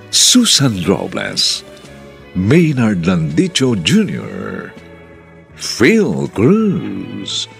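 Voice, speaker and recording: A man with a Filipino accent narrating a radio serial as its announcer in a theatrical, measured style. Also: lowish voice, vocal fry, fairly bright tone. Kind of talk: dramatic radio serial narration, reading credits.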